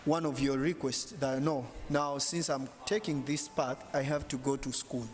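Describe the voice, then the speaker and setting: Soft-spoken young male interviewer. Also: mid-range voice, steady tone, moderate pace. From Uganda. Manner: quiet listener, frequent murmured agreement. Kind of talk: casual interview. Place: bright hotel lounge indoors. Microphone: handheld lapel mic.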